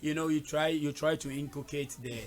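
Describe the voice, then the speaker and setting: A man's voice: on the high side, flat delivery, a little fast. A man hosting a casual interview, chatty, with frequent fillers and repeated words. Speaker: host.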